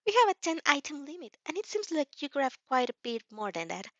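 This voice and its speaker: A woman voicing a friendly, polite female shop assistant in a story, helpful and slightly halting. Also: high-pitched, very animated, fairly bright tone, no echo, pitched above the performer's own voice, exaggerated voice. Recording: dry, slightly dull lo-fi recording.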